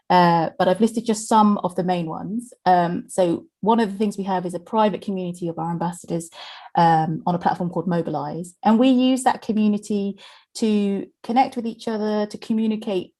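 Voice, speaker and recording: A woman's voice: mid-range voice, dark tone. Chatty woman hosting a webinar. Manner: chatty, with many fillers and small laughs. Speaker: webinar host from the company. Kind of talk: presenting a webinar.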